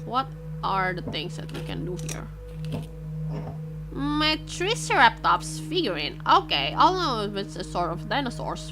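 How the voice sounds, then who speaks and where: A woman with an Indonesian accent streams as a VTuber, reading visual novel text aloud and breaking off to chat with viewers. Her voice sits a bit high and swings widely in pitch as she reacts. Game music plays clearly behind her. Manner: expressive, reacts loudly, switches quickly between reading and chat.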